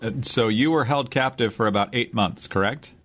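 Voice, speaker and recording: A man hosts a radio interview with a measured, direct way of asking questions. His voice sits at a middle pitch for a man. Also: a bit bright. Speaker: radio host.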